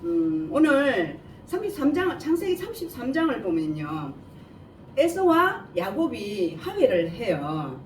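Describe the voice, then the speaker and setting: High-pitched woman preaching with a regional Korean dialect. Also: huge pitch swings, unhurried, darkish tone. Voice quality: slightly imprecise, lisping articulation. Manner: asks listeners rhetorical questions and answers them. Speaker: preacher giving a Bible message. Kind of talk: sermon, explaining scripture verse by verse. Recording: echoey room, distant mic, faint noise.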